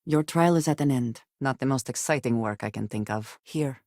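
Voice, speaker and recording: An actress playing a guarded, solemn woman spacefarer in a video game, speaking in measured, formal phrases. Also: very deep voice, darkish tone, dry recording, studio quality. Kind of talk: video game character dialogue.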